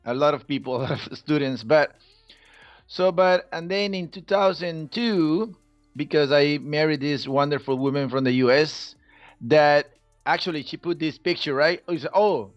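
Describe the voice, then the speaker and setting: A man's voice: fairly high pitch, moderate pace, no room sound, highs cut off, faint music behind. A middle-aged man from Costa Rica, a native Spanish speaker with a Costa Rican accent, telling his own story casually as an interview guest. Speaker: podcast guest, Spanish teacher.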